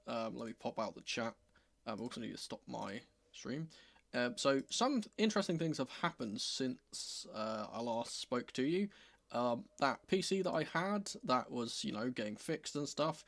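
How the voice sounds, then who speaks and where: A man, a bit croaky from being under the weather, chats casually and wryly as the host of a football watchalong stream. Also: mid-range voice, a bit bright, no room sound. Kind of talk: casual livestream chat.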